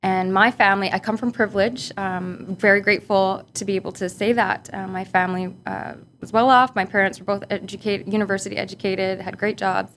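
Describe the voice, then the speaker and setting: A woman from Barbados speaks in a medium-pitched voice, reflective and relaxed, often pausing on fillers. She laughs easily as she talks through her work. A faint rumble sits under the recording. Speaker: guest, policy analyst. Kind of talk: casual interview conversation. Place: office boardroom.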